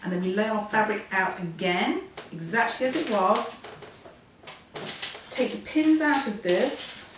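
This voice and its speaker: A hoarse woman walks through a sewing lesson step by step, chatty and self-deprecating. She stands well back from the mic in a very echoey workroom. A faint hiss sits under her voice. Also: medium pitch, measured pace, recorded from a distance. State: hoarse, losing her voice from a cold. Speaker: sewing instructor. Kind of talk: hands-on sewing tutorial.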